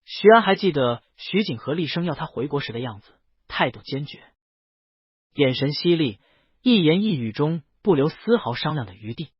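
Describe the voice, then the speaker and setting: A man narrates a scripted story. His voice sits a little high and moves through lively, varied intonation, with a husky edge. The recording is close and dry, slightly muffled. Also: normal speed, dry recording. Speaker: audiobook narrator.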